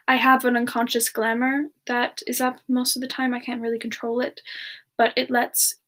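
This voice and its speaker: A woman voicing a gentle, playful siren entertainer in a soft, hesitant semi-ASMR chat, answering questions in character. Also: fairly high pitch, little pitch movement. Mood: casual, coy. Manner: soft, unhurried, giggly, hesitant.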